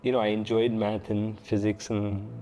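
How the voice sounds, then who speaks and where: A Tanzanian man, a founder and guest, talking casually in an interview, relaxed and full of fillers and restarts. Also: lowish voice, moderate pace, crowd noise.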